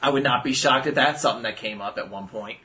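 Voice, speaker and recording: A man's voice: mid-range voice, fast, sharp tone. A man co-hosting a podcast, chatting casually and rambling through jokes and mock voices. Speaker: podcast co-host. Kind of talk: casual chat.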